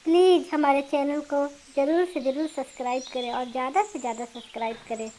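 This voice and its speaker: A woman's voice: sits high, steady tone, fairly quick, mellow, slight background noise. A woman narrating a scripted children's story as a storyteller.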